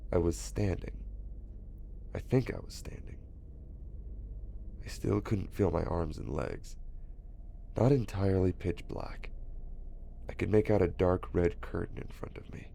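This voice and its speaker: Guy voicing frightened son telling his horror story, deep crackly voice, bit of noise behind it. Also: animated, takes it slowly, gravelly, long pauses, mellow, full character voice. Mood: grim. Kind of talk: scripted first-person horror narration.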